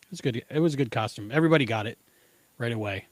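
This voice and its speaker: A man's voice: mid-range voice, rapid-fire, dry recording. A man chatting casually as a podcast co-host, discussing things in a loose conversational style. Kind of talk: casual chat, episode discussion.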